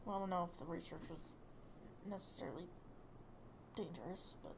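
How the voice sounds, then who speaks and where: A woman's voice: on the low side, fairly quick, creaky, background noise. A woman giving casual, musing commentary as she streams a game, informal and reactive. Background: game music.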